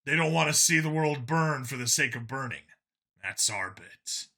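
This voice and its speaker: A man's voice: mid-range voice, animated, normal speed, gravelly, pushed and bright, full character voice. A man voicing an earnest, argumentative, world-weary male cop in an audiobook, arguing in long, insistent speeches. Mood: grave, insistent.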